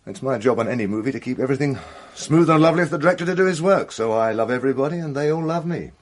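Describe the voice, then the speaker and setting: A man's voice: medium pitch, animated, very fast, lightly acted. An actor playing an evasive but blunt film crew man in a radio drama, hesitant and defensive in colloquial talk. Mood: bitter.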